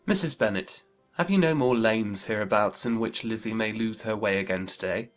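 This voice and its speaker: A man playing an amiable, lightly teasing young gentleman in a dramatized period audiobook. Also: lowish voice, moderate pace, faint music behind, lightly acted. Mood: cheerful, teasing.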